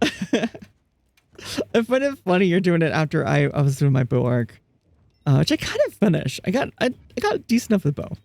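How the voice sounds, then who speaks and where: Live streamer, casual chat, gravelly edge, dry close sound. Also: medium pitch, big pitch swings, fairly quick, a little mellow, no echo. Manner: chatty, laughs often, reads chat aloud; frequent restarts and fillers.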